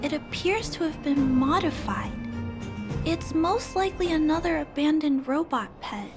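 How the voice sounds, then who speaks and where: A woman voicing a kind, earnest young girl who fixes robots, speaking politely and gently. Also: high-pitched, lively intonation, normal speed. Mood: earnest gentle. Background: game music.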